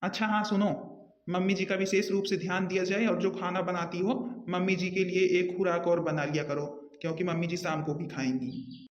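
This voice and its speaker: A man plays a bossy husband and dutiful son in a cartoon comedy. His voice is high for a man, with a stern, ordering manner. The recording is echoey and a bit muffled. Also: steady tone, fairly quick, lightly acted. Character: bossy, scolding husband devoted to his mother. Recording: echoey, dull lo-fi sound.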